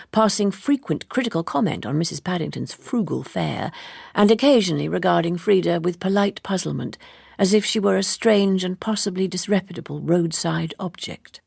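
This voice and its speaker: Female audiobook reader, literary and measured, animated pitch, very dry close sound. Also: gravelly, slightly rough, dry recording. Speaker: audiobook narrator. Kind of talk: expressive literary scripted narration.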